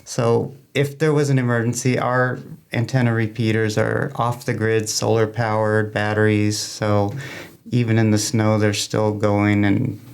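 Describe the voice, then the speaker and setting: A man's voice: fairly low pitch, level tone, a little slow, gravelly, smooth. A man speaking as a guest in an interview, explaining things informally in a plain-spoken way. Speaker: guest, amateur radio club member.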